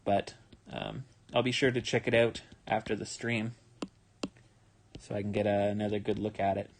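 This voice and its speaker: A tired young man with a relaxed, rambling manner, talking casually with many fillers as he draws live and explains his steps. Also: on the low side, normal speed, creaky, slight background noise. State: tired, yawning. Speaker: manga artist streaming his drawing. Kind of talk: casual livestream commentary while drawing.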